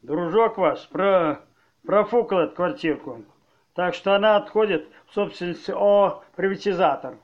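A high-voiced, thuggish male enforcer played by an actor, blunt and cynical, talking in curt slang. The audio play recording sounds dull and muffled. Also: normal speed, natural voice. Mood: brusque, mocking. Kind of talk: amateur audio play acting.

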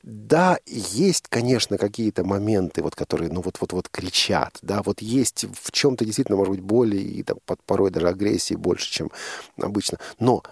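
A man hosting a reflective radio interview, speaking in an earnest, emphatic, halting way with frequent repetitions and a husky, gravelly voice. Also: lowish voice, lively intonation, no echo, clean studio sound. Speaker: radio host interviewing a guest.

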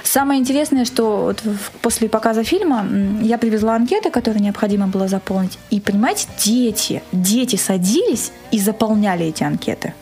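A woman's everyday voice at a middle pitch, speaking as an interview guest. She is earnest and enthusiastic, explaining things conversationally. Faint music plays underneath. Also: expressive, fairly quick, hardly any pauses, mellow. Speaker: guest, organizer of a screening.

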